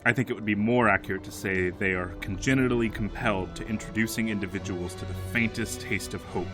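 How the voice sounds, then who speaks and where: Give the part to a low-voiced vampire count played by a man, formal and unfailingly courteous. He measures out his phrases like an old aristocrat in an audio drama. Faint music plays behind him. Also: fairly even intonation, quick pace, close to an everyday voice. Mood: smooth, persuasive.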